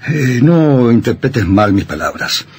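A man's voice: medium pitch, very animated, measured pace, heavy background noise. An actor playing a stern, suspicious older father, a surgeon, in a radio drama with an insinuating, hesitant manner.

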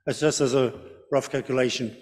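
A middle-aged man answering technical questions at a conference, explaining piece by piece with hesitant, deliberate phrasing. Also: medium pitch, steady tone, darkish tone. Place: large ornate hall, indoors. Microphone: podium microphone. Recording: reverberant conference hall.

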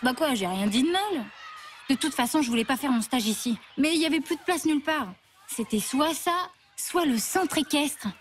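A woman's voice: high voice, lively intonation, normal speed, slight background noise. A woman voicing a flippant, self-satisfied teenage girl in a French children's cartoon. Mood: sulky, offhand. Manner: dismissive, breezy, self-satisfied. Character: cocky, flippant teenage schoolgirl trainee.

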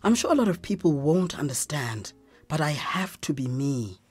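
Gentle, weary South African woman, low register, radio drama, faint music underneath. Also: lively intonation, moderate pace, husky. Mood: earnest.

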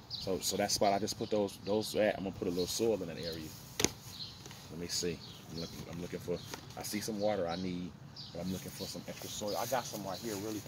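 Low-voiced American man rambling over outdoor noise. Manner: folksy, repetitive, thinking aloud. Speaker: home gardener vlogger. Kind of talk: casual rambling outdoor vlog chat. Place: outdoors in a backyard garden. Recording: noisy outdoor phone audio, uneven distance. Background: birds and outdoor ambience.

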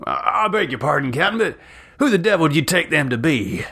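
Man doing a fiery, high-pitched Western hothead. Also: sing-song, fairly quick, sharp tone, slightly rough, exaggerated voice. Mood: agitated. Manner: agitated, blustering. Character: fiery, hot-headed Old West frontiersman with a pistol.

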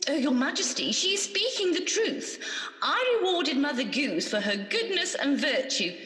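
A woman's voice: high voice, sing-song, moderate pace, a bit bright. A woman playing a kindly, bustling good fairy in a radio pantomime. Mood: cheery, helpful. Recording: echoey reverberant hall.

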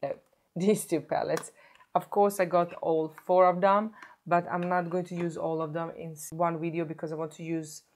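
Woman in her thirties, hoarse from a sore throat, lowish voice; a chatty beauty vlogger talking close to the camera. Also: level tone, normal speed. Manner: chatty, self-correcting, stops to show products. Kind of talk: casual makeup tutorial. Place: indoor home filming set.

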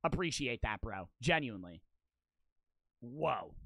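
A man in his twenties streaming a game on a headset mic, excitable and swearing, with his voice cracking from wear. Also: mid-range voice, measured pace, vocal fry, no room sound, clean studio sound. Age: 20s. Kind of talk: livestream gaming commentary. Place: small bedroom streaming setup. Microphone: headset mic on boom arm.

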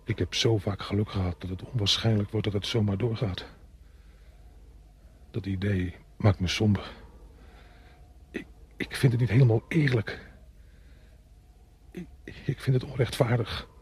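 Casting: gloomy trench soldier, low and very husky, resigned delivery for a radio play. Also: male voice, measured pace, long pauses, darkish tone. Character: gloomy, fatalistic male soldier awaiting attack. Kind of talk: radio drama acting.

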